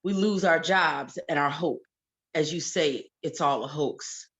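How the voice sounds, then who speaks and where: A woman's voice: low-pitched, unhurried, a bit bright. A woman reciting spoken-word protest poetry in an emphatic, rhythmic and indignant way. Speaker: poet reading own work.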